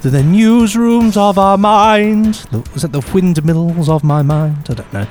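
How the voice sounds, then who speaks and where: A man's voice: high-pitched, unhurried, darkish tone. A man co-hosting a podcast, chatty and playfully mock-pompous while presenting news items. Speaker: podcast co-host. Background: music bed.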